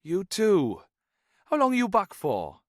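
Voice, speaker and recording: A man's voice: high voice, huge pitch swings, no room sound, clean studio sound, voice pushed up, heavily acted. A man putting on a boozy lilting Welshman. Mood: jovial. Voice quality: lilting and slow. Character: boozy fifty-something Welsh teacher, jovial leering.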